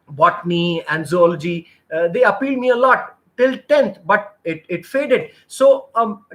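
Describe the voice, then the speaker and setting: A man's voice: high voice, fairly bright tone. A middle-aged man, coaching job seekers in a live session, earnest and rambling, with many restarts and fillers. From India. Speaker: interview trainer.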